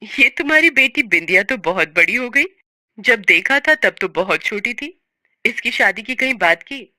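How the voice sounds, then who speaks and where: Woman as matchmaking auntie, chatty, persuasive, high bright voice, family story, dry recording. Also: animated, moderate pace, dry recording. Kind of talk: family drama story acting.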